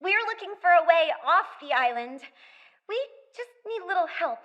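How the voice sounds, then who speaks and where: A woman as a very high-voiced headstrong girl. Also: lively intonation, normal speed, dry recording, lo-fi sound. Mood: hopeful. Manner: eager, headstrong. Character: bold, trusting young girl adventurer, eager and headstrong.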